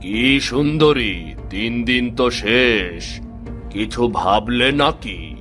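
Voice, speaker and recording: Casting: greedy, menacing older landlord for cartoon; very slow gloating drawl, mid-pitched, leering villain. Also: male voice, expressive, a bit bright, soft background music. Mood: smug, leering. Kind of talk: cartoon voice acting.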